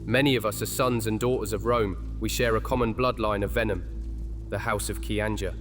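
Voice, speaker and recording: An actor playing a grave, calm vampire of an ancient bloodline, speaking in measured, formal tones in an audio drama. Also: male voice, lowish voice, soft background music, close to an everyday voice. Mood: grave, warning. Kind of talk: dramatic audio fiction acting.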